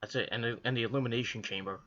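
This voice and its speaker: Hoarse man rambling about wrestling. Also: mid-range voice, fairly even intonation, racing, bright, forceful tone, lo-fi sound. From Northeastern US. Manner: rambling, repetitive, frequent restarts and fillers. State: hoarse, coming down with a cold. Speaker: wrestling video reviewer. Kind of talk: casual solo commentary to camera.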